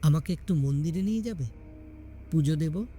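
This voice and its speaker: A man's voice: a little high, moderate pace, mellow, soft background music, close to an everyday voice. A man voicing a troubled, devout young wife with a downcast, pleading manner in an audio story. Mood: subdued.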